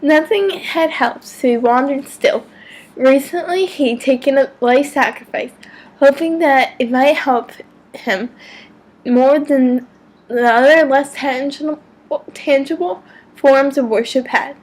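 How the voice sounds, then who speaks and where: Slow high-pitched woman reading theatrically. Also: poor audio. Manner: theatrical, deliberate, stumbles over words. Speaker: amateur reader of fan fiction. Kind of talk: mock-dramatic story reading.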